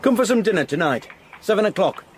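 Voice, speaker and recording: A man's voice: high voice, expressive, fast, slight background noise. An actor playing a hearty, blunt, shrewd countryman with a Northern English accent in a period radio drama. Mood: shrewd, inviting.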